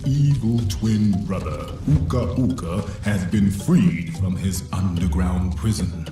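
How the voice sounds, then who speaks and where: Casting: ancient guardian spirit, low, solemn, measured and wise, game mentor. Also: male voice. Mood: solemn guidance. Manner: solemn, measured, grandly instructive. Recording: echoing, music behind.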